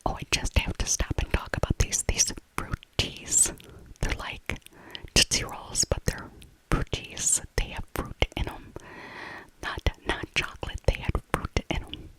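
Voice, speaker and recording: A middle-aged American woman speaking in a soft, breathy whisper, chatting nostalgically in a casual ASMR voiceover and often repeating her phrases. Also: a little slow, low-quality recording. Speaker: ASMR video creator.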